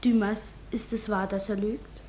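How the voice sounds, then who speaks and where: A woman playing a curious, doubting young ship's boy, speaking softly in a 1950s German radio play. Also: medium pitch, level tone, a little slow, natural voice. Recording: faint noise, ambience, old radio.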